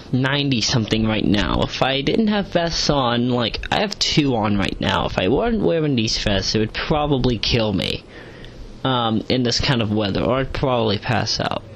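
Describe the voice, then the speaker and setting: A man's voice: medium pitch, fairly bright tone. Guy doing a rambly audio log, kinda stilted and repeats himself, noisy background. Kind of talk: rambling audio log reading out comments with asides. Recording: clearly noisy home recording.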